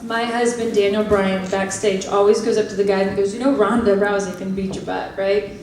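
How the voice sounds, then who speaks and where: A woman's voice: mid-range voice, level tone, fairly quick, recorded from a distance. A young woman on a convention panel answering fan questions, earnest and chatty, laughing along. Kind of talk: casual convention Q&A. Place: large convention hall. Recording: very echoey hall with a loud crowd, from afar.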